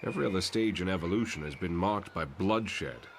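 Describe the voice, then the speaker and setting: Male actor as weary idealist professor, very low pitch, measured brooding monologue, some background noise. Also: animated. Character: disillusioned male mutant professor, weary, self-doubting idealist. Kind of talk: motion comic voice acting.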